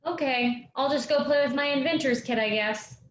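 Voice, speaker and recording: A woman's voice: sits high, moderate pace, close to an everyday voice. A woman playing an eager, innocent young son in a theatrical staged reading. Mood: hopeful. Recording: roomy, dull, faintly noisy sound.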